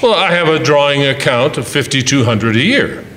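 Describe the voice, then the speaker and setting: A man's voice: mid-range voice, expressive, normal speed, fairly bright tone, natural voice. A man playing a long-suffering, wry husband in old-time radio comedy, narrating his married life with dry, sardonic asides. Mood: dry, resigned. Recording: roomy, echoing hall, faint music.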